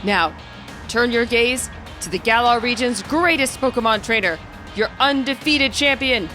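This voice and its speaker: A woman's voice: on the high side, measured pace, sharp tone, faint music behind. A woman voicing a male business chairman giving a formal, pompous and welcoming public speech, as in a game story. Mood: grand, welcoming. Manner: formal, showy public-speaker delivery.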